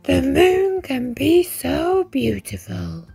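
A woman's voice: high voice, sing-song, takes it slowly, creaky, mellow, no room sound, faint music behind, full character voice. A woman voicing a shy, gangly male giraffe in a storybook, earnest and emotional. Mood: wistful. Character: shy gangly male giraffe, self-doubting, then joyful.

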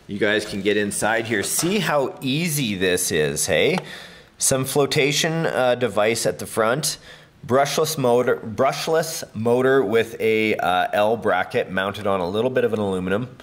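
Chatty man unboxing a hobby model. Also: on the low side. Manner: chatty, filler-heavy, laughs at own slips. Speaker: hobby video host. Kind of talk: casual unboxing commentary. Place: workshop studio with tool cabinets. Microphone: handheld camera mic, host mostly off screen.

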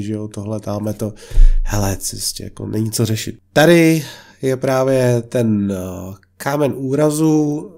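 Chatty Czech man, flat dark voice. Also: medium pitch. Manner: chatty, heavy filler words, blunt opinions. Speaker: car vlogger. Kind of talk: casual car listing commentary.

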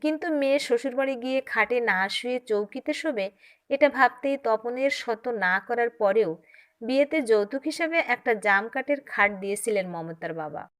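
Higher-pitched woman telling a story expressively. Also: lively intonation, moderate pace, fairly bright tone. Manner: dramatic, acts out dialogue. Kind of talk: expressive audiobook-style story narration.

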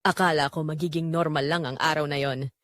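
A woman narrating a scripted first-person story in a confessional, dramatic way with deliberate phrasing. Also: sits low, steady tone, a bit bright, no echo, clean studio sound.

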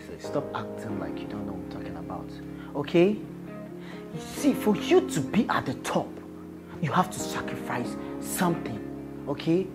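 Animated, pushy casting director, played by a man. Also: medium pitch, moderate pace, music in the background, natural voice. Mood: pushy, insinuating. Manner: exclamatory, pushy, repetitive. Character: excitable, sleazy male casting director.

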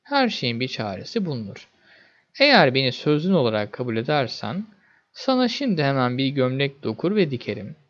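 A man voicing a tiny enchanted girl in a fairy tale, kind, confident and gently reassuring. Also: medium pitch, big pitch swings, a little fast, lo-fi sound.

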